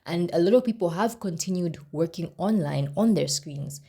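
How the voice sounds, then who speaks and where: A woman's voice: on the low side, moderate pace, breathy edge. Chatty young woman. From Zambia. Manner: chatty and expressive, with pleading asides. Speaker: vlogger. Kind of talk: casual talking-to-camera vlog.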